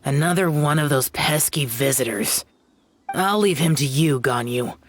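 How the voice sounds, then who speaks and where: Game immortal mentor: stern, haughty, commanding, disdainful, faint noise in the background. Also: female voice, deep voice, measured pace. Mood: stern, irritated. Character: stern, haughty immortal master training a pupil. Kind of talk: video game voice acting.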